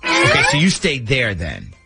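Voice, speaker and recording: A man's voice: mid-range voice, huge pitch swings, normal speed, vocal fry, bright, forceful tone, soft background music. A man hosting a morning radio show, talking through a prank call segment.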